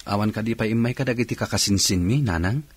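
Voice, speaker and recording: Husky, concerned young Ilocano son. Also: male voice, lowish voice, fast talker, no room sound. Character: concerned young student son, dutiful and eager. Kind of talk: Ilocano radio drama acting. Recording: music under the dialogue. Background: background music.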